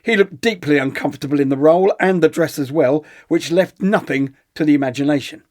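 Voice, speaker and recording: A man's voice: fairly high pitch, a little fast, a little mellow, close to an everyday voice. A man playing a pompous, withering theatre critic, reading a scripted comic review in an arch, theatrical manner. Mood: arch disdain.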